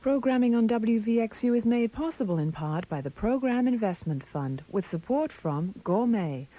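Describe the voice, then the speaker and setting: A woman's voice: a little high, animated, moderate pace, dark tone, faint music behind, poor audio. A woman reading a scripted sponsor announcement as a public radio announcer.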